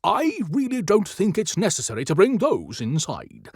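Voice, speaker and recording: A man voicing a weary but authoritative Santa Claus in an audiobook, measured and mildly formal. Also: sits high, huge pitch swings, slightly rough, dry recording, studio quality, pitched above the performer's own voice, heavily acted. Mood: firm, exasperated. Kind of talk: cinematic audiobook character acting.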